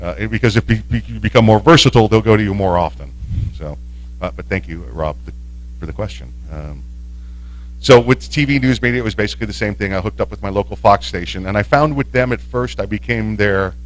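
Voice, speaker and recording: Rambling, slightly low-voiced radio man. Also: a little fast, low-quality recording. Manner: rambling, with many restarts and fillers. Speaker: radio host and panelist. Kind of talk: casual panel talk on a live stream.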